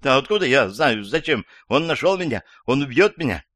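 A man's voice: medium pitch, big pitch swings, a little fast, a bit bright, no echo, clean studio sound, pitched above the performer's own voice. A man voicing a frightened, frantic elderly woman in an audiobook, crying out in panic. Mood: panicked. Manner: panicky, breathless rattling outcries.

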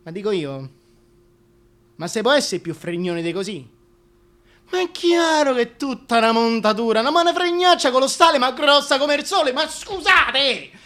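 A man performs a working-class Roman busybody in a comic theatre monologue. The voice is bright and forceful, full of colloquial exclamations, indignantly standing up for the king like a neighbourhood gossip. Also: extremely high pitch, animated, normal speed, full character voice. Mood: indignant, mocking.

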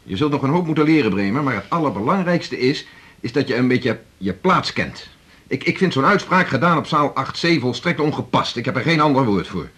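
Actor as pompous senior doctor, widely swinging intonation. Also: male voice, mid-range voice, lively intonation, fairly quick, fairly bright tone, lightly acted. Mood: indignant, superior. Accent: Netherlands Dutch. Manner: pompous, clipped, formal. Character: stern, condescending senior male hospital doctor. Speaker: supporting actor. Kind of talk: 1980s radio drama acting.

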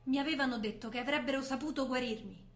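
A woman's voice: high-pitched, fast talker. An actress playing a young blind woman, a dreamy, plaintive exile, in theatrical stage style. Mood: wistful, dreamy. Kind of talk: theatrical stage-play acting. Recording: roomy, with prominent live music.

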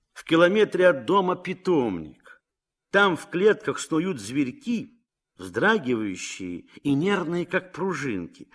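A man narrating a literary radio drama as a gently ironic storyteller. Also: medium pitch.

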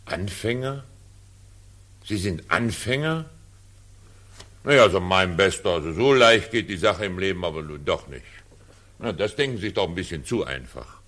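Pompous cabaret boss, lowish voice, very animated swooping intonation, condescending, theatrical 1940s radio play style. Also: male voice, moderate pace, pauses often. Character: pompous, evasive middle-aged male cabaret director. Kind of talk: 1940s German radio drama acting. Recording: 1940s radio drama recording.

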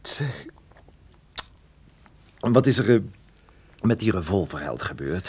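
An actor playing a wounded, irascible and flirtatious private detective in a radio play, with a sarcastic, grumbling delivery. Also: male voice, low-pitched, very animated, slow pace, breathy edge, exaggerated voice.

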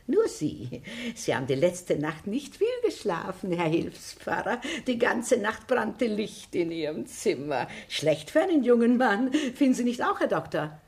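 An actress playing a shrewd, inquisitive elderly spinster sleuth in a radio drama, gossipy and slyly probing. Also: female voice, fairly low pitch, a little mellow. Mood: nosy, probing.

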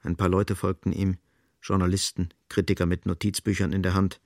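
A male actor as the sober narrator of a literary radio play, speaking in deliberate, emphatic short sentences. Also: low voice, little pitch movement, a little mellow, no room sound, studio quality. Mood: grave, insistent.

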